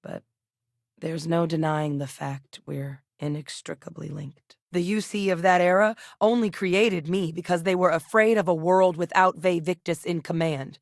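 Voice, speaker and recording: An actress playing an earnest, guarded military scientist in a space video game, speaking in a measured, articulate, serious way. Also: female voice, fairly low pitch, steady tone, creaky, no room sound, studio quality. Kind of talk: video game character voice lines.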